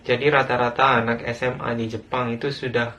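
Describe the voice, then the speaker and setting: A man's voice: mid-range voice, little pitch movement, a little fast, bright, forceful tone, slight background noise. A young Indonesian man giving an earnest, plain talk in a recorded video, with some hesitations. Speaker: guest speaker living abroad.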